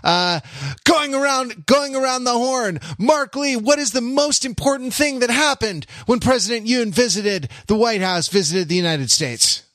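A man's voice: extremely high pitch, animated, moderate pace, a bit bright, dry recording, clean studio sound. A man hosting a podcast in casual comedic chat, with a jokey, mock-serious way of talking. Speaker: podcast host.

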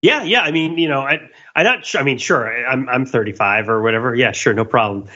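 A man's voice: mid-range voice, brisk, pushed and bright, lo-fi sound. A man co-hosting a podcast, talking casually and analytically with emphatic enthusiasm about pop culture. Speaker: podcast co-host.

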